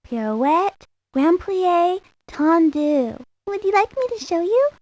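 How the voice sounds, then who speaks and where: Tiny high-voiced sweet ballerina girl. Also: expressive, slow pace, darkish tone, no room sound, full character voice. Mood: sweet, proud. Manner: childlike, confiding chatter. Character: sweet, girlish little ballerina girl, secretive and prim.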